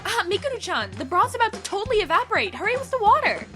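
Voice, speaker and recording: A woman voicing a bossy, excitable teenage girl who leads a school club, speaking in a commanding, enthusiastic way. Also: very high voice, quick pace, over music. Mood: eager. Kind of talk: fan audio drama acting.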